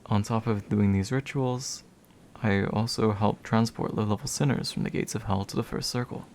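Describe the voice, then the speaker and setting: A man playing a gentle, slightly bashful demon in a soft, soothing, intimate ASMR comfort roleplay. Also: fairly low pitch, fairly even intonation, a little hiss or hum, natural voice. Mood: calm, explanatory. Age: young adult. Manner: soothing, hushed, unhurried, tender.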